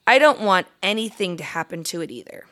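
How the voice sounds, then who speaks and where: A woman's voice: medium pitch, expressive, brisk, fairly bright tone, natural voice. A woman voicing an eager, cheeky young male mouse in a storybook, pleading and arguing in a boyish way. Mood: defensive.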